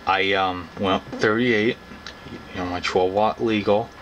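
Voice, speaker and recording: A young man with a low, quite flat voice explains things casually to camera. He rambles along in an informal, folksy way. Clear ambient noise sits behind his voice throughout. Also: a little slow, dull audio. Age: young adult. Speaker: hobbyist vlogger. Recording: clearly noisy background ambience.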